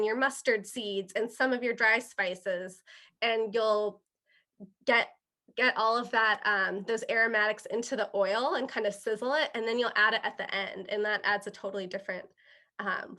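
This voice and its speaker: A young woman chef talks through her dishes in a relaxed, patient way, pausing on fillers as she explains each term. Her voice has a bright, clear edge at a mid pitch. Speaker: guest chef. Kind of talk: casual interview, explaining dishes.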